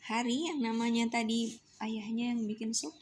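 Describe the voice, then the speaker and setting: A woman's voice: on the high side, little pitch movement, normal speed, darkish tone, a little hiss or hum. A young woman at home, reading a storybook aloud to her child, often whispering and asking the child questions.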